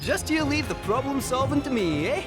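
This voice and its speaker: An actor voicing a small, plucky, cheerful talking cat with a Scottish accent and a jaunty manner in a video game. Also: male voice, extremely high pitch, sing-song, normal speed. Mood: cheerful confidence. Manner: jaunty, exclamatory, reassuring. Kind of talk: video game character acting. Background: music.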